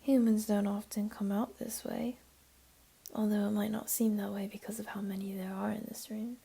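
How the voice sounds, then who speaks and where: A woman voicing a flirty, teasing, comforting jaguar beastling in an intimate ASMR roleplay, speaking softly and coaxingly in a half-whisper. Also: medium pitch, flat delivery, normal speed, gravelly, breathy edge. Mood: gently coaxing.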